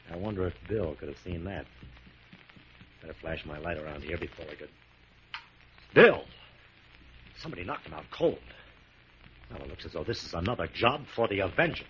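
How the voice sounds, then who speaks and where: An actor playing a resolute, alert crime-fighting investigator, theatrically thinking aloud in a 1940s radio drama. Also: male voice, deep voice, lively intonation, normal speed, pauses often, a bit bright. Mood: curious, alert. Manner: talks thoughts aloud theatrically. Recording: dull, hissy 1940s radio recording.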